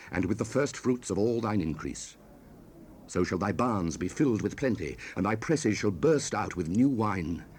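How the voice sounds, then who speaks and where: An actor playing a stern fatherly biblical sage, declaiming scripture solemnly in an archaic style for a dramatized audio Bible. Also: lowish voice. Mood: grave, gentle. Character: stern fatherly biblical sage, exhorting and warning his son. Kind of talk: dramatized King James scripture reading, 1970s audio production. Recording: noisy raw cassette rip.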